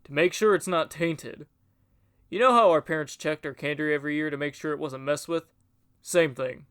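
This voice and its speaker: A man's voice: fairly high pitch, normal speed, a bit bright, clean tone, natural voice. A man playing a protective boyfriend in a horror audio drama. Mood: firm, pleading. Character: protective boyfriend on a haunted-mansion trip.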